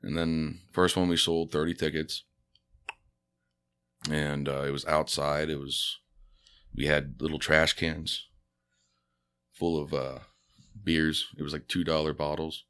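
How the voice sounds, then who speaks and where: A man's voice: a bass voice, little pitch movement, a little slow, pauses often, a bit bright, clean tone. A man who grew up speaking Spanish first, a comedian chatting on a podcast in a laid-back, rambling way full of fillers and restarts. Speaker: stand-up comedian guest. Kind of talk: casual podcast chat.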